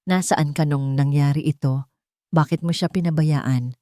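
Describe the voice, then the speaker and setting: A woman's voice: deep voice, steady tone, moderate pace, dark tone, dry recording, studio quality, natural voice. A woman narrator voicing a stern, controlling older grandmother in a dramatic story, speaking formally and firmly. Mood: angry, accusing. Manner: formal, firm, haughty.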